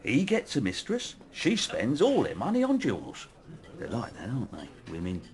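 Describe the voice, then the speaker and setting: An actor playing a chatty, cynical café waiter in a radio drama, insinuating and chummy. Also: male voice, mid-range voice, huge pitch swings, normal speed, darkish tone. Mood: knowing. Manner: chatty, insinuating, tag questions. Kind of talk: radio drama character acting.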